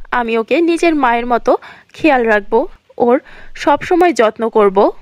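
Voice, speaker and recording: A woman's voice: sits high, no room sound. A woman voicing a repentant, pleading stepmother in a cartoon fairy tale. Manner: pleading, apologetic.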